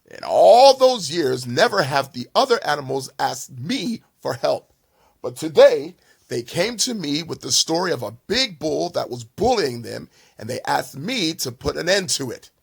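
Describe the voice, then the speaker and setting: A man's voice: fairly high pitch, big pitch swings, unhurried, creaky, breathy edge, exaggerated voice. A man voicing a huge old bull, stern and commanding, for a children's story.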